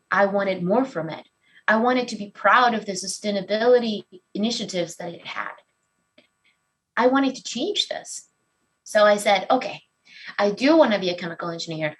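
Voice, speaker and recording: Woman, 30s, candid expressive storytelling, pokes fun at herself. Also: mid-range voice, poor audio. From Venezuela. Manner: candid and expressive, self-deprecating. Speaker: speaker giving a talk. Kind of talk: personal story talk.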